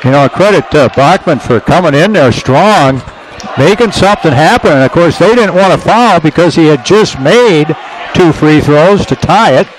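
A man's voice: fairly high pitch, lively intonation, moderate pace, poor audio. A man giving radio color commentary on a basketball game as a coach, talking in a chatty, folksy way with reflective asides. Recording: live gym broadcast with crowd noise.

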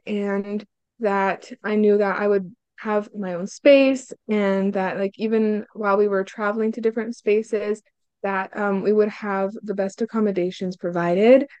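A woman's voice: medium pitch, darkish tone, smooth, dry recording, dull audio. Young woman student from hungary chatting, lots of likes and ums, dry close audio. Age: young adult. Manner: upbeat, frequent "like" and "um" fillers. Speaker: student guest. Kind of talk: casual interview answers.

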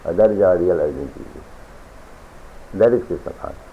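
A man giving a philosophical sermon as a spiritual teacher, lecturing deliberately, repeating phrases and reciting scripture. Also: low voice, gravelly, slight background noise. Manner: deliberate, repetitive lecturing with chanted scripture quotes. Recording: tinny lo-fi archival recording.

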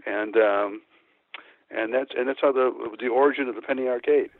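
An older man from Chicago heard over a narrow, phone-like connection with a dry sound. He is an interview guest and musician, reminiscing casually. His delivery is hesitant and rambling, at a medium pitch. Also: moderate pace, no room sound. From Texas-born, grew up in Chicago. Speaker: guest, former band musician. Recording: tinny phone line, narrow band.

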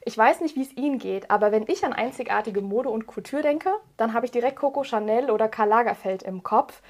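A woman with a slightly high-pitched voice works as an interviewer. She delivers prepared introductions and questions in an orderly interview style. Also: brisk, a little mellow.

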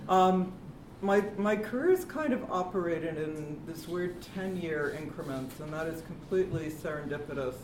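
An American woman in her fifties with a deep, fairly monotone voice, giving a chatty, self-deprecating talk to a live panel audience. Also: moderate pace, far from the mic. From United States. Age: 50s. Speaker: panel speaker. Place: event hall with live audience. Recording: echoey room, off-mic, background noise.

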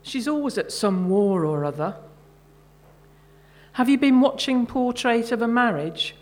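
A middle-aged woman, an author reading her own short fiction at a live event, measured and carefully phrased. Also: fairly high pitch, lively intonation.

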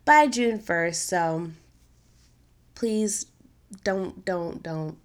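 A young adult woman hosting a solo podcast in a casual, chatty way, her voice a little raspy from allergies. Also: lowish voice, very slow. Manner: chatty, upbeat, informal slang. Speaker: podcast host.

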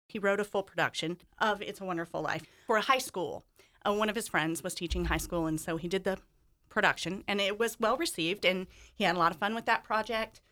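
Bright-voiced woman explaining in an interview. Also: medium pitch, brisk. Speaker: interview guest, stage director.

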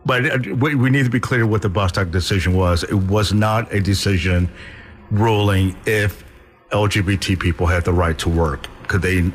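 Low-voiced opinionated co-host man. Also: steady tone, normal speed. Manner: opinionated, explains with restarts. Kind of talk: casual talk show conversation.

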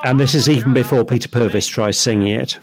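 A man's voice: mid-range voice, fast talker. A man from England co-hosting a podcast, chatting in an easygoing way as an episode plays. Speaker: podcast co-host. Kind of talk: casual commentary while watching.

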